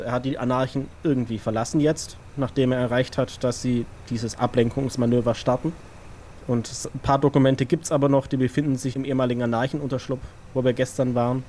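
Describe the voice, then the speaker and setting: A man playing an earnest vampire scout in an audio drama, reporting in a halting, matter-of-fact, self-correcting way. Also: mid-range voice, darkish tone, lightly acted. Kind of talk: audio drama acting, improvised feel. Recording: background noise and ambience.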